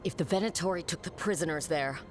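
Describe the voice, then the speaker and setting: An actress playing a determined, serious game heroine, speaking tersely and earnestly. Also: female voice, lowish voice, quick pace, lightly acted. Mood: grave, urgent. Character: determined adult female adventurer leading a rescue, serious, steady. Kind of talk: video game voice acting.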